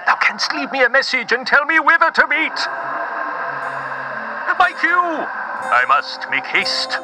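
An actor playing an earnest, grandiose Shakespearean prince, declaiming urgently in archaic style for an audio drama. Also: male voice, very high voice, wildly swooping pitch, slow, loud music behind the voice, full character voice, low-quality recording. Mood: urgent, grand. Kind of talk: mock-Elizabethan audio drama, leaving a phone message.

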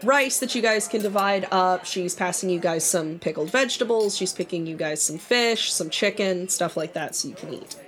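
A woman's voice: fairly low pitch, normal speed, few pauses. A woman narrating a tabletop game as game master, loose and chatty with many fillers.

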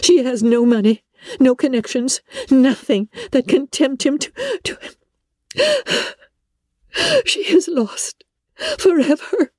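A distraught young gentlewoman from an old novel, high shaky tearful voice, halting, blaming herself. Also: animated, dry recording, studio quality. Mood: anguished confession. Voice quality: tearful, breaking voice. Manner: halting, agitated, dramatic. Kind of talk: dramatic audiobook reading of classic novel.

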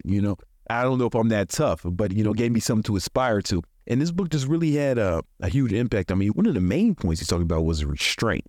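A man's voice: fairly low pitch, a little mellow, no echo, clean studio sound. An American man in his forties hosting a podcast, giving casual motivational talk in colloquial, profane language. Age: 40s. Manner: colloquial, profane, chuckles often. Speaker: podcast host.

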